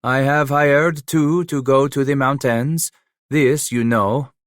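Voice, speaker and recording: A weary, cautious old Frenchman, as a male narrator puts him on, speaking formally and hesitantly. The delivery is slow and tired, recorded close and dry. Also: medium pitch, steady tone, dry recording, pitched above the performer's own voice, close to an everyday voice.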